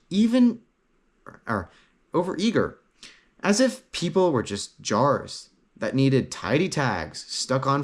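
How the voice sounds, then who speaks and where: A man reading a fantasy story aloud as an audiobook narrator, in a dramatic, phrase-by-phrase way. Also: mid-range voice.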